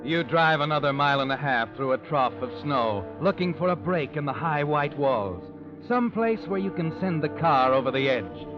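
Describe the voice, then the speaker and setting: A sinister, all-knowing storyteller, performed by a man in 1940s radio noir style. His slightly high voice is ominous and insinuating, set against clear music on a dull old recording. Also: expressive, moderate pace, lightly acted. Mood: ominous, suspenseful. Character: sinister all-knowing male narrator haunting a guilty man.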